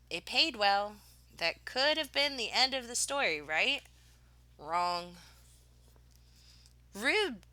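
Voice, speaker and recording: Bright, animated woman reading a storybook aloud. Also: medium pitch, no echo. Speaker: teacher reading a picture book aloud. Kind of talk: expressive children's read-aloud of a picture book.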